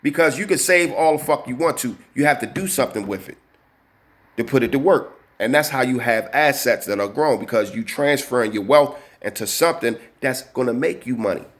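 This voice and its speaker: A man's voice: mid-range voice, lively intonation, brisk. A man in his early forties hosting his own channel, talking casually at his desk, with heavy slang, a lot of cursing and constant "you know what I'm saying". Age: 40s. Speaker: channel host. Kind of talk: casual vlog talk to camera.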